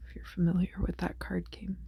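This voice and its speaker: A woman with a soft, whispery voice, chatting casually in a soothing, unhurried way as the host of an ASMR tarot reading. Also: on the low side, completely flat delivery, gravelly, breathy edge, dry recording. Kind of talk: ASMR, rambling casual chat.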